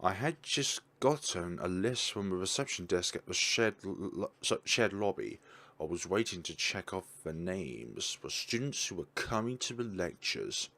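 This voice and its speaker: A man's voice: sits low, animated, normal speed, a bit bright. A man reading a visual novel's text aloud, stumbling and correcting himself, with casual asides about the game. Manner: stumbling reads with self-corrections.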